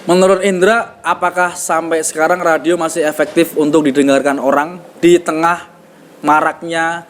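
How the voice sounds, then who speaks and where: Host from Semarang, Javanese accent, higher voice, teasing, faint noise. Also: male voice, level tone, moderate pace. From Semarang, Central Java. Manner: teasing, laughs often. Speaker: podcast host. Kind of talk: casual podcast chat.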